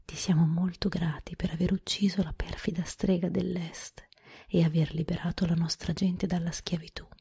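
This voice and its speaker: A woman voicing a kind, calm little old witch in a whispered storybook reading. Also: on the low side, moderate pace, gravelly, husky, heavily acted. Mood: gentle.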